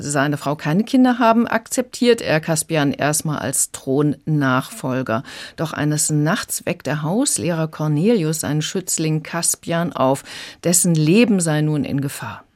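A woman's voice: deep voice, darkish tone. A woman speaking as an audiobook reviewer, explaining in a radio conversation.

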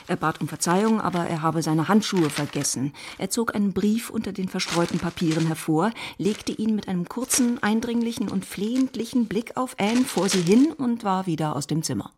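A woman's voice: medium pitch, lightly acted. A woman playing the composed storyteller of a period romance in a radio drama, narrating precisely. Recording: loud background noise.

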